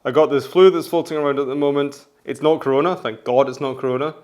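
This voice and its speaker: A man hosting a menswear vlog, talking casually and rambling with many restarts, his voice hoarse from the flu. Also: mid-range voice, steady tone, quick pace, a little mellow. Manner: rambling, chatty, frequent restarts. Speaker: menswear vlogger.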